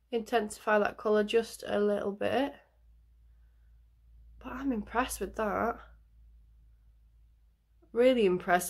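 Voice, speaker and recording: A woman with a nasal, bunged-up voice from a cold, chatting in a rambling way while doing her makeup on camera, with lots of ums. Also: medium pitch. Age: adult parent. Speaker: makeup vlogger. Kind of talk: casual makeup tutorial chat.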